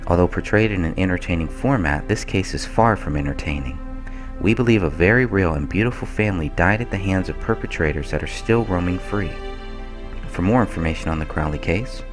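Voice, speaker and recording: A man's voice: low voice, brisk. A man giving formal, earnest scripted narration as the announcer of a radio-style show. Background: music.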